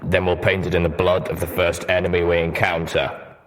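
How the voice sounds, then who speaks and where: A man voicing a grim, sardonic male pony warrior in a storybook reading, with dry, deliberate, probing delivery. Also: low-pitched, level tone, lightly acted.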